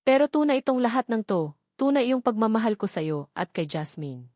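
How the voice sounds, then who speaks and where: Woman doing a protective young man, heated and tense, raised pitch, audio drama, dry close sound. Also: very animated, normal speed, a little mellow, no room sound. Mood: tender.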